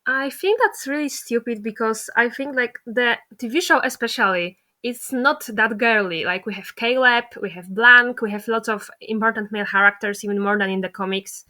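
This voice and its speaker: Woman, interviewer, casual chat, fairly high pitch, bright clear-cut tone. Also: normal speed, hardly any pauses.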